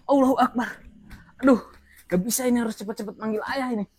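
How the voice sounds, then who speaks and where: A woman's voice: fast talker, a little mellow, husky, dry recording. Frightened woman crying out prayers. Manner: panicked, repeating prayers in high frightened cries. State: frightened, shouting. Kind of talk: frantic real-time reaction.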